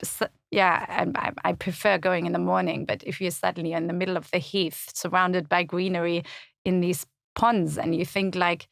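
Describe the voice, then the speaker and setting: German-accented woman, low gravelly voice, relaxed interview guest, dry studio mic. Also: moderate pace, no echo. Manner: thoughtful, many fillers and restarts. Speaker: podcast guest, community website founder. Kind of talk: casual interview chat. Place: podcast studio.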